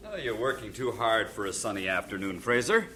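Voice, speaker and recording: Casting: genial, teasing companion, crisp bright tone, old-time radio acting. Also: male voice, lowish voice, expressive, a little fast, a little hiss or hum. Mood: jovial, teasing. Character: teasing, jovial friend of a hard-working missionary.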